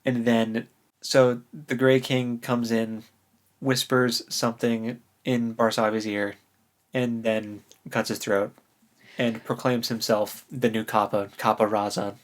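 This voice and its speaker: A podcast co-host chatting casually about books, recapping in an animated way with relaxed slang. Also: mid-range voice, takes it slowly. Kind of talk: casual book discussion chat.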